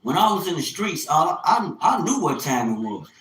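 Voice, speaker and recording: A man's voice: a little high, very animated, moderate pace, fairly bright tone. A man in his fifties talking casually on a livestream, colloquial and rambling, often repeating phrases for emphasis. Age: 50s. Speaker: livestreamer, small business owner. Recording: echoey room with faint background noise.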